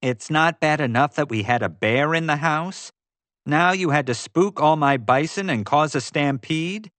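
Man acting scolding, indignant woman, raised pitch. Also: a little slow, fairly bright tone, no echo. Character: indignant woman fed up with troublesome guests. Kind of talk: audiobook character voice.

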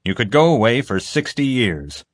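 A man's voice: mid-range voice, sing-song, no echo, studio quality, voice pushed up. A man voicing a stern, controlled investigator who questions firmly and with authority in an audiobook. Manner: measured, firm questioning.

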